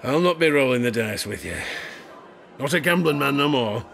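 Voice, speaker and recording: A man playing a weathered old sailor and ex-harpooner with a gravelly voice, telling superstitious tales theatrically in an audio drama. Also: medium pitch, expressive, fairly bright tone. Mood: jovial. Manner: theatrical, rustic dialect, drawn-out suspenseful phrasing with chuckles.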